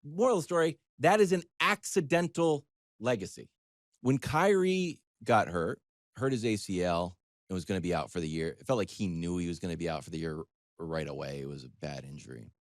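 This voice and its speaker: Middle-aged man telling a wry, animated story. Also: deep voice, fairly quick, no room sound, clean studio sound. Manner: animated, wry, acts out imagined dialogue. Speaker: sports talk show panelist. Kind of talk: conversational storytelling monologue.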